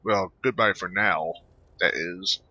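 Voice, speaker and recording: Low, sharp-voiced man commentating a game. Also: breathy edge, dry recording. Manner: halting, rambling delivery. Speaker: let's player. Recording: dull lo-fi microphone.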